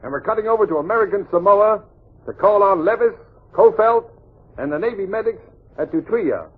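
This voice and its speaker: A man with a husky voice hosting a wartime variety show, announcing in 1940s radio style to servicemen. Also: high-pitched, animated, brisk. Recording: muffled vintage 1940s radio broadcast.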